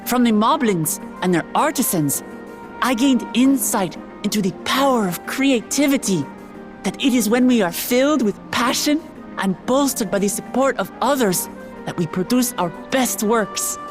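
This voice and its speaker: An actress playing an earnest, warm-hearted young woman who has just become ruler, delivering a heartfelt ascension speech in a video game cutscene. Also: on the high side, lively intonation, moderate pace, natural voice. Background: orchestral game music.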